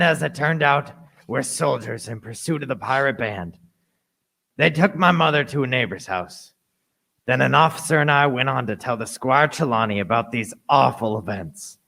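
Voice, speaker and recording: Young adventurer narrator played by a man: bright, fry-edged voice, earnest scripted radio drama storytelling. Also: medium pitch, lively intonation, normal speed, exaggerated voice. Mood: grave, recounting.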